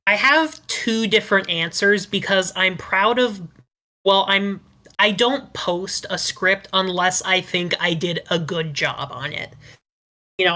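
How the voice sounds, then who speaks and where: A woman's voice: lowish voice, sharp tone. A woman talking casually as a writer on a podcast panel, explaining things in a careful, reflective way.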